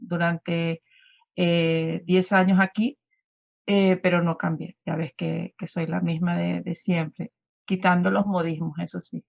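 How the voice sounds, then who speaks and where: A woman's voice: fairly low pitch, flat delivery, unhurried, gravelly, dry recording, lo-fi sound. A woman in her fifties with a Venezuelan accent, a guest talking in a conversational radio interview with many fillers and restarts.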